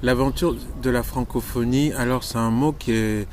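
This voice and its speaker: A man's voice: mid-range voice, fairly even intonation, normal speed, background noise. A man explaining a historical idea in an interview, searching for words and using many fillers.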